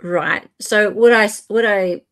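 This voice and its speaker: An Australian woman hosts a livestream panel. She talks in a casual, conversational way at a middling pitch, commenting as she goes. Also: measured pace. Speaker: livestream host.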